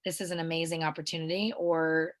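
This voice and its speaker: A woman's voice: sits low, little pitch movement, fast talker. A woman interviewing someone casually, in an informal, enthusiastic way.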